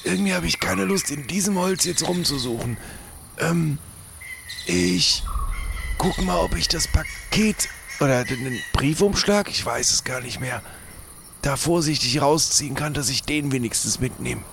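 Male player, casual improviser, fairly high creaky voice, noticeable background noise. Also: measured pace. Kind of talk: casual improvised storytelling game.

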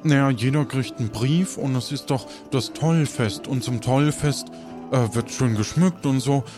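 A man acts out an eager, easily flustered former tavern keeper in an improvised audio play. The voice is of medium pitch and moves in halting, repeated starts. Clear music runs underneath. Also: natural voice. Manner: halting, stammering.

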